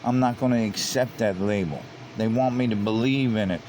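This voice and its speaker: A man with a fairly low voice talks through online comments in a loose, rambling way, stumbling and repeating words as he builds to emphatic outbursts. A steady hum of air conditioning sits clearly under the recording. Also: normal speed. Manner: repeats words and restarts, grows heated and emphatic. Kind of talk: casual commentary.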